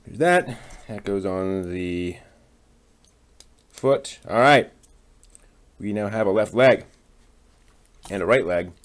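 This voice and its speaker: A man's voice: fairly low pitch, animated, takes it slowly, long pauses. A man hosting a casual hobby stream, chatting and talking to himself as he works with his hands. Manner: talks to himself while working. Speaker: host. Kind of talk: casual hobby livestream chat while building a model kit.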